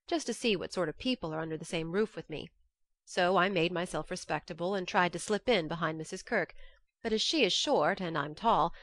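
A woman reads a classic novel as an audiobook narrator. Her voice sits a little low and the sound is dry and close. The delivery is expressive, scripted first-person storytelling. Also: no echo.

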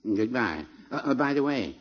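An actor playing a placid, literal-minded old druggist, folksy and hesitant, in 1950s radio comedy style. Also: male voice, mid-range voice, big pitch swings, normal speed. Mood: unhurried. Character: mild, unhurried, dithering old small-town druggist. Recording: muffled, noisy old radio recording.

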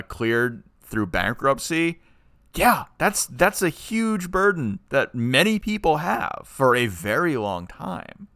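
A man co-hosting a podcast in casual chat, animated and ranting as he makes his point. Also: medium pitch, dry recording, clean studio sound. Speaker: podcast co-host.

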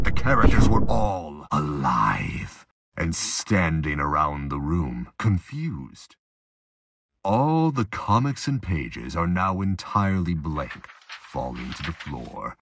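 Deep, husky male narrator. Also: a bit bright, dry recording. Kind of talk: scripted audio drama narration.

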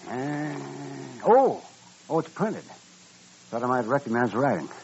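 An actor playing a crusty, grumbling old American frontier doctor in a radio western, raspy and cantankerous. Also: male voice, mid-range voice, very animated, a little mellow, slight background noise. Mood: wry, intrigued. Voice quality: raspy, husky. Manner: cantankerous, drawn-out, swooping delivery. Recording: dull old-time radio recording.

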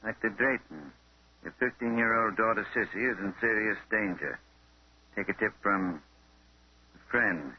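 An actor playing a wry older police inspector in a 1930s radio mystery, brisk and gruff, on an old broadcast recording. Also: male voice, fairly low pitch, muffled recording. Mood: brisk, pressing.

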